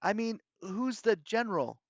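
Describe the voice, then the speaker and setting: A man voicing an anxious, quick-witted teenage boy in a storybook reading, urgent and questioning. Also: high voice, lively intonation, dry recording, lo-fi sound, pitched above the performer's own voice. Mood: probing.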